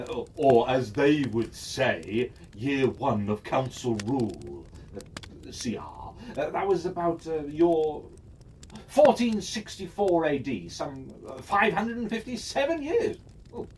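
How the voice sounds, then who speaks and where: A man's voice: medium pitch, big pitch swings, slow, a little mellow. A man telling a fantasy story in character, chatty and musing, often asking himself questions aloud. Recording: distant mic with faint background noise.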